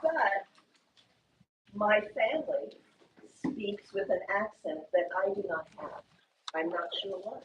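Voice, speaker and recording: A woman teacher with an Indiana accent talks in a slow, unhurried, patient way, explaining things carefully to her class. She is picked up from some distance across a classroom, with a faint hiss underneath. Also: medium pitch, lively intonation. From Indiana, USA. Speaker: English teacher.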